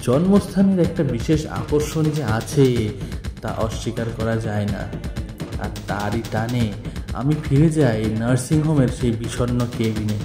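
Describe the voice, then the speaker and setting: Guy reading a dramatic audio story, really slow n deliberate, music behind him, echoey. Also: mid-range voice. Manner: theatrical, deliberate, with drawn-out pauses. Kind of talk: dramatic first-person literary story narration. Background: background music.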